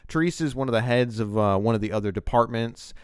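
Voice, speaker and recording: A man's voice: medium pitch, fast, a little mellow, clean tone, dry recording, clean studio sound. A man hosting a video essay, explaining things in a loose, conversational and emphatic way. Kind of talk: casual, unscripted explanatory commentary on TV writing.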